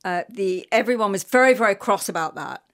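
A woman from London with an English accent, a guest chatting frankly and quickly in a radio interview. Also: mid-range voice, lively intonation, fairly bright tone. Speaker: guest performer. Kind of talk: casual interview chat.

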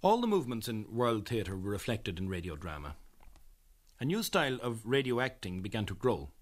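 A man with an Irish accent presenting scripted documentary narration in a measured, earnest manner. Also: on the low side, big pitch swings.

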